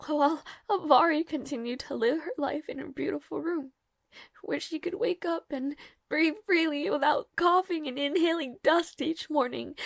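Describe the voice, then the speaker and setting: A woman playing a timid, devoted young maid in a dramatic audio roleplay, with halting, stammering, breathy speech. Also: high voice, dry recording, studio quality.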